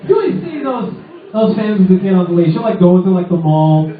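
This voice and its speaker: A man's voice: high voice, very animated, quick pace. Sarcastic young man doing stand-up in a pub. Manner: rambling, sarcastic, loaded with "like" fillers. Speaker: stand-up comedian. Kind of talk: stand-up comedy set. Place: small sports pub comedy show, with crowd laughter. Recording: echoey lo-fi room recording from far off.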